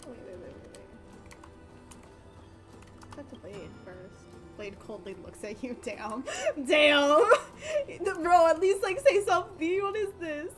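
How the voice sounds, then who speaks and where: A woman game streamer reacts casually in a high, very animated voice. She is excitable and slangy, with game music faintly behind her. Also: slow, long pauses. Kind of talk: casual livestream reaction commentary.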